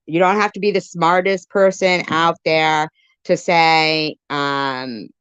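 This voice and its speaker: Low, unhurried, blunt woman. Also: steady tone, fairly bright tone, smooth, no echo. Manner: blunt, contradicting, repetitive, swearing. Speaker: live stream commentator. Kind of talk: off-the-cuff live stream commentary on played audio. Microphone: phone on a live stream.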